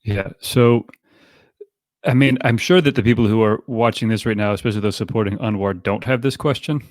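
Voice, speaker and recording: A man hosting a live podcast, explaining things in a measured way. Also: on the low side, no room sound, clean studio sound. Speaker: podcast host.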